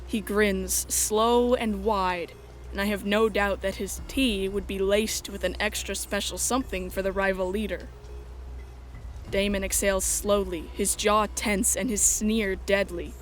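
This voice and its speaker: A woman's voice: medium pitch, steady tone, unhurried, a little hiss or hum. A woman reading scripted first-person story narration as the narrator of a drama.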